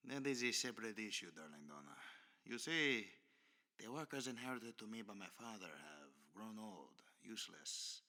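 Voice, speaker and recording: Man acting a suave, crisp-edged aristocratic villain. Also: lowish voice, animated, normal speed, pushed and bright. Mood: haughty, scheming. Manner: formal, florid, smoothly condescending speech. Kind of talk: ASMR roleplay audio drama acting.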